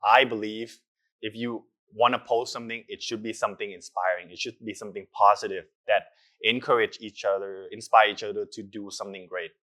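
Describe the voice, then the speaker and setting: Earnest young man, lowish voice. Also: fairly even intonation, a little fast, sharp tone. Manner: earnest, reflective, with hesitant fillers. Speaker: actor. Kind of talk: casual interview answers.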